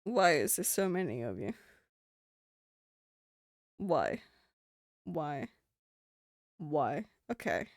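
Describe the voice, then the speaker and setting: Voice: mid-range voice, very animated, extremely slow, long pauses, dry recording. Kiwi streamer rambling n swearing, voice jumps all over, dry close sound. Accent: New Zealand. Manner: rambling and swearing, with frequent laughs. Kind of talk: casual live-stream chat.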